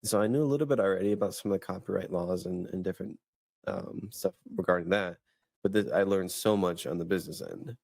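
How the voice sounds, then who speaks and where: A man's voice: deep voice, fast, vocal fry, a little mellow, no room sound, studio quality. A man in his thirties co-hosting a faith podcast, chatting casually and rambling with many restarts and fillers. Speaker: podcast host. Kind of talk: casual chat.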